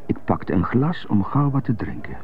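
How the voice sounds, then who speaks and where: An actor playing an indignant, brooding stuttering prisoner who mutters to himself in a radio drama monologue. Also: male voice, on the low side, sing-song, moderate pace. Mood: uneasy. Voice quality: stutter on some words. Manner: muttering, self-questioning monologue in short bursts. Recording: muffled narrow phone-like sound, music behind.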